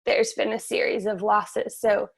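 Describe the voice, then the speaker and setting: A woman hosting a webinar, speaking in a slow, measured, reflective way over a video call. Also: mid-range voice, little pitch movement, creaky, breathy edge. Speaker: webinar host and somatic teacher. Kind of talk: reflective guided conversation.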